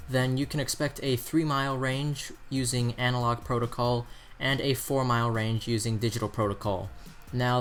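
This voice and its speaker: A man's voice: medium pitch, fairly bright tone. A man presenting an explanatory buying guide in the style of a product review narration.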